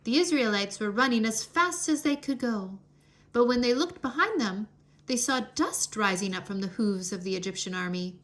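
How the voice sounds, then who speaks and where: Woman reading a kids story out loud, bright highish voice, expressive and deliberate. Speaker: storybook reader. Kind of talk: expressive scripted read-aloud for children.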